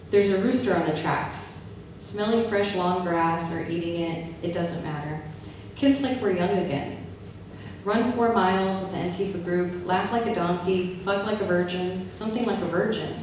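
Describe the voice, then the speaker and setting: A very echoey, noisy recording of a woman at a live poetry reading. Her voice is on the low side and comes from some distance off the mic, in the manner of a spoken-word poet. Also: a little mellow. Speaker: poet and English professor reading her own poems. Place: reverberant event room with an audience.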